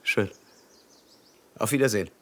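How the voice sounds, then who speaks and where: Wistful gentleman ex officer for a radio play, lowish voice, mannered and halting, hopelessly in love. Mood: polite. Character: wistful, lovestruck gentleman and former war officer. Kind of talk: radio drama acting.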